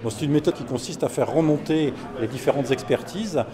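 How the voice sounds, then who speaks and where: A man's voice: medium pitch, a little fast. A man giving an explanatory, unscripted interview answer. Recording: busy crowd behind.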